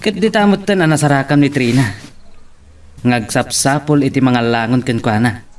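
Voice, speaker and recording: A man's voice: mid-range voice, normal speed, a little hiss or hum, lightly acted. A man playing a sympathetic, frank friend with an Ilocano accent, questioning and advising in a drama. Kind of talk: Ilocano radio-style drama acting. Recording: distant from the microphone.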